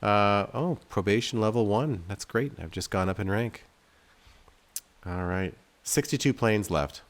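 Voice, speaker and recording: A man's voice: on the low side, a little slow. A man giving casual gameplay commentary as a video host, rambling and thinking aloud in a self-deprecating way. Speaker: game developer and video host playing his game.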